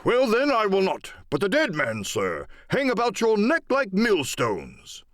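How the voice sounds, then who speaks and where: Pompous, indignant country squire for classic adventure audiobook; raised pitch, big swooping delivery. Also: male voice, wildly swooping pitch, measured pace, a bit bright, no room sound, clean studio sound, exaggerated voice. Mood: stern, indignant. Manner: pompous, declamatory.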